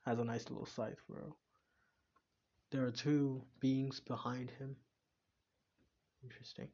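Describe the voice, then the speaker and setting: A man's voice: medium pitch, little pitch movement, warm tone, lo-fi sound. A young man, casually chatting and rambling with many restarts as a reaction video host. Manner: rambling, halting, full of fillers and restarts. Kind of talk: casual chat.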